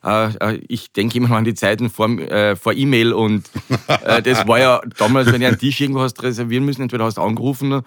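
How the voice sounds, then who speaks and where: A man's voice: mid-range voice, flat delivery, quick pace, fairly bright tone, no room sound, studio quality. A middle-aged man with an Austrian accent talking conversationally as an interview guest, often hesitating and repeating words as he searches for phrasing. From Carinthia, Austria.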